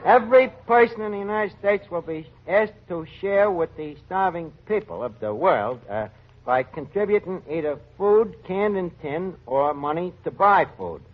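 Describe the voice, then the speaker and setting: Sarcastic New tavern manager, highish animated voice, mangled grammar, vintage radio comedy. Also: male voice, moderate pace. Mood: earnest. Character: fast-talking, malapropism-prone wisecracking male tavern manager. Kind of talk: 1940s radio comedy acting. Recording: dull vintage radio recording.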